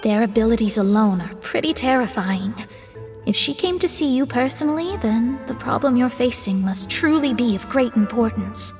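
A young female astrologer witch from a video game, proud yet compassionate. Her high voice is formal and carefully measured, trailing a long reverb over music. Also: animated, darkish tone. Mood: thoughtful. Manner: formal, measured, articulate delivery. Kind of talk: English video game dialogue acting.

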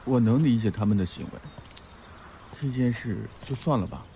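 An actor playing a shy, gentle young Japanese male student in a radio drama, speaking hesitantly. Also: mid-range voice, moderate pace, vocal fry, slight background noise, close to an everyday voice. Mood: shy, grateful.

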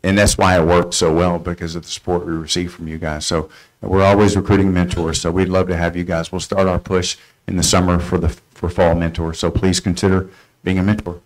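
A man's voice: low-pitched, little pitch movement, a little fast, rough recording. A middle-aged man answering questions informally as a presenter for a student mentoring program. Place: school board meeting room.

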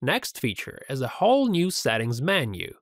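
A man presenting a scripted software tutorial voice-over, upbeat and giving step-by-step instructions. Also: medium pitch, huge pitch swings, normal speed, dry recording, studio quality.

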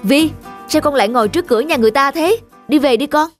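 A woman's voice: high voice, fast talker, close to an everyday voice. Cartoon mother, woman actor: protective, fretful, urgent scolding, music bed underneath. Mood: worried, scolding. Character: anxious, protective young mother of a little girl. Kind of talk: dubbed cartoon dialogue.

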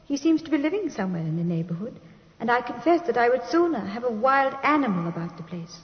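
A woman playing a refined, anxious young British gentlewoman reading a letter aloud in 1950s radio drama. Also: a little high, sing-song, quick pace. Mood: composed, uneasy. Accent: British English. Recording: muffled 1950s radio, long reverb.

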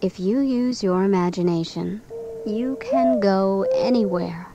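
Slow, gentle woman guiding bedtime relaxation. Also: medium pitch, sing-song, highs cut off. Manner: gentle, soothing, unhurried instruction. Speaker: guided meditation narrator for children. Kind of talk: guided bedtime relaxation and storytelling. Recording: clearly noisy recording with background ambience.

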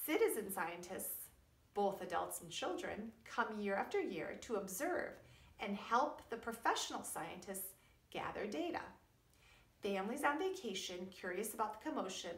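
The room echoes around a middle-aged woman with a mid-pitched voice. She speaks as a preschool teacher does to small children, deliberately and with clear emphasis. Her delivery suits both a simple science lesson and a picture book read aloud. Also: a bit bright. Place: indoors in a wood-panelled room at home or school.

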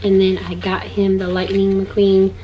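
Hoarse woman in casual chat. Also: medium pitch, completely flat delivery, a little slow, highs cut off, slight background noise. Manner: chatty, upbeat, showing items. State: hoarse, losing her voice. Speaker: vlogger. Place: wooden log home bedroom.

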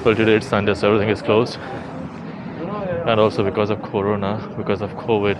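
A young man with a fairly low voice talks informally to his viewers as he walks. He thinks aloud in the style of a casual tour vlog. The recording is outdoors, with heavy street and traffic noise around his voice. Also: level tone, fast. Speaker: vlogger. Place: outdoors on city streets while walking. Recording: noisy city street, traffic ambience.

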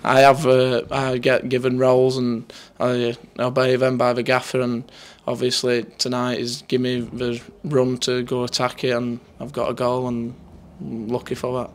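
Young northern english bloke, footballer chatting after a match, really monotone, plain and matter of fact. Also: male voice, mid-range voice, normal speed, few pauses. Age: 20s. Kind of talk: post-match interview, casual. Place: indoors in front of a sponsor board. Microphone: handheld interview microphone held near him.

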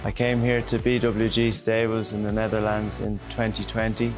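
A man's voice: lowish voice, flat delivery, moderate pace. A man in his twenties giving a documentary interview as a rider. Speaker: working rider. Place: large indoor riding arena. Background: background music.

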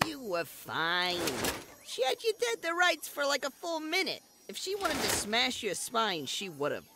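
Woman acting as small fairy thief, scolding, sarcastic, lively swooping pitch, noisy recording, fantasy audio drama. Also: a little slow, full character voice. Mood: snappy. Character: snappy, sarcastic little fairy outlaw. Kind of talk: amateur fantasy audio roleplay.

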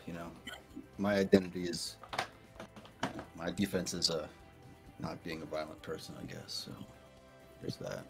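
A man speaking hesitantly on a video call, halting with fillers. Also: deep voice, sing-song, gravelly, music in the background. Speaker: panel guest. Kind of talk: casual chat on a video call.

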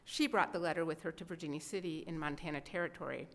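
A woman's voice: sits low, quick pace, a bit bright. A middle-aged woman presenting a program of readings, speaking scripted narration at a podium microphone in a gallery. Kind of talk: scripted narration to a live audience. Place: indoor museum gallery. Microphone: podium gooseneck microphone.

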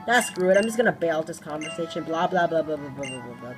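A woman's voice: sits low, animated, fast. A woman doing playful read-aloud video game commentary in a theatrical, sarcastic manner. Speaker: let's play host. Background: video game music.

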